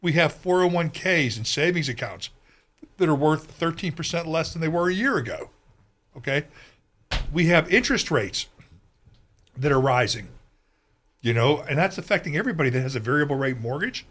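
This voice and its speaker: A man hosting a political talk radio show, arguing his points with frequent throat clearing and tag questions. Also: a little high, lively intonation, moderate pace, long pauses, a bit bright. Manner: argumentative, frequent throat clearing, tags "okay?" and "right?".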